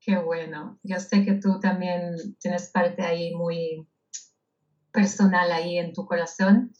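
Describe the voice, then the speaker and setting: A woman from Israel, a guest in a casual interview, speaking slowly and thoughtfully with many hesitations. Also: lowish voice, little pitch movement, darkish tone. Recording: dull lo-fi audio.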